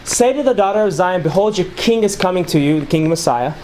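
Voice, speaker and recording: Man teaching a relaxed Bible study. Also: high-pitched, a little fast, slight background noise. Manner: conversational, reads scripture aloud then explains it. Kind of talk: informal Bible study class. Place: small room with a group of listeners.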